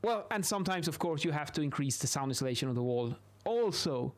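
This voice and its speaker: Higher-voiced Swedish-accented man explaining. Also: lively intonation, fast. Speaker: video host, acoustics instructor. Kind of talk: informal explainer while sketching. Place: small indoor home office. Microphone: close boom-arm studio microphone.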